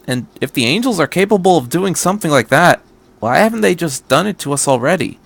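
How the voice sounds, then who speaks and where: A man's voice: fairly high pitch, lively intonation, a little fast, vocal fry, fairly bright tone, full character voice. A man voicing an anxious teenage boy pilot in a story, hesitant and incredulous as he questions. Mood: hesitant, puzzled.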